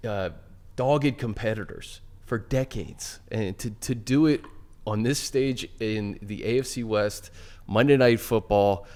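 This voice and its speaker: A man's voice: lowish voice, measured pace, darkish tone. A man hosting a casual sports talk show, conversational and steering the chat to new topics. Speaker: show host.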